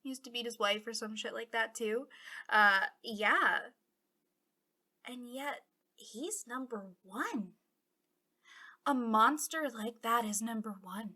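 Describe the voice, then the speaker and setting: A taunting villainess played by a woman in an ASMR roleplay, her voice fairly high and very breathy, often slipping into a whisper. She drawls slowly and mockingly, with the bitterness of a fallen hero. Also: bright, forceful tone, heavily acted. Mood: indignant. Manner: mocking, conspiratorial, drawn-out drawl.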